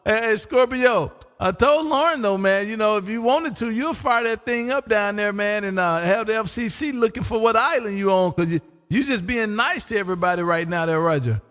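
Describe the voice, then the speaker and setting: Male radio hobbyist and streamer, high voice, playful and repetitive, long reverb. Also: animated, brisk, hardly any pauses, fairly bright tone. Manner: jovial, teasing, repeats himself, often says "man". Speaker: amateur radio operator and livestream host. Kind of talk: casual radio chat, live streamed. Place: home radio room.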